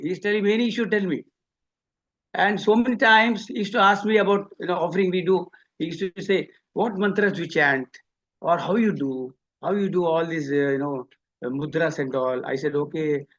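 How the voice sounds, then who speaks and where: A man from India with an Indian accent gives a memorial tribute, telling personal anecdotes and acting out both sides of conversations, on a lo-fi recording. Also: fairly high pitch. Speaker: devotee giving a memorial tribute. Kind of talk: spoken eulogy with personal anecdotes. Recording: dull lo-fi speech recording.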